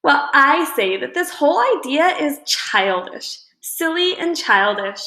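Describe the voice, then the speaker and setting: Woman voicing a high-pitched, scornful bossy schoolgirl. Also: expressive, a little slow, full character voice. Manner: sharp, challenging. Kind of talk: acted children's storybook reading. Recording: roomy indoor echo.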